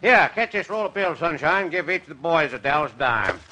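A man's voice: on the high side, expressive, a little fast, sharp tone, a little hiss or hum. An actor playing a boastful rich Texas oilman in a 1940s radio comedy, with a Texan accent and a folksy, laughing drawl.

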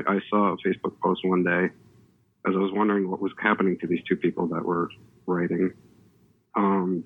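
A man's voice: lowish voice, creaky. A man on a panel, talking in an earnest, rambling way with many restarts and "you know". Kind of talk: panel discussion. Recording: tinny phone-like line.

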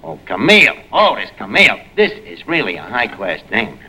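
A man's voice: lowish voice, wildly swooping pitch, normal speed, bright, forceful tone, husky, full character voice. A man playing a brash, slangy New Yorker who mangles fancy words in a 1940s radio comedy. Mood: casual. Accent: New York. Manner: wisecracking, slangy, malapropisms. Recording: noisy old radio recording with studio audience.

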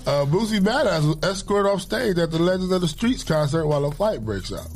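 A man's voice: sits high, sing-song, brisk. A man co-hosting a casual podcast, reading news stories aloud and adding his own asides, sitting a little off the mic. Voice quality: says he has a soft voice. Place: small studio with table mics. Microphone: sits off the mic.

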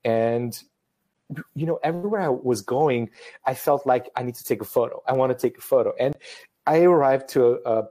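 A man's voice: mid-range voice, normal speed, mellow. A man in his thirties from Romania, a photographer chatting casually as a guest, hesitant and self-deprecating with jokes. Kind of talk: casual live-stream conversation.

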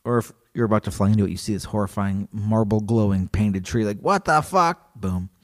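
A man co-hosting a podcast, chatting casually and reading a story aloud, animated and joking. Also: fairly low pitch, brisk. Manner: animated, joking, laughs often. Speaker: podcast co-host.

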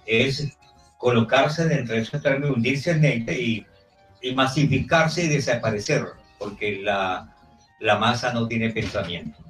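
A man making a formal comment at a book presentation in a halting, ponderous way. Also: mid-range voice, a bit bright, soft background music. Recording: roomy, distant from the mic.

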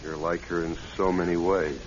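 An actor playing a brooding, weary man haunted by a lost love in a radio drama, speaking in a halting, distracted, wistful way. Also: flat delivery, close to an everyday voice. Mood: wistful, distant. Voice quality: low, gravelly. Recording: dull lo-fi radio recording, faint noise.

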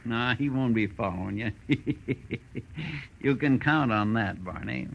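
Small-time wise guy, sly, mocking, chuckly, medium pitch, 1940s radio crime drama. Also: slow pace, vocal fry, highs cut off, very noisy. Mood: smooth, menacing. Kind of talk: 1940s radio detective acting. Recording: vintage radio drama recording.

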